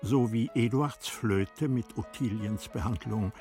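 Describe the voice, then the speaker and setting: A man narrating a tragic classic story in a radio play, in a solemn, measured, dramatic manner. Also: on the low side, mellow, faint music behind.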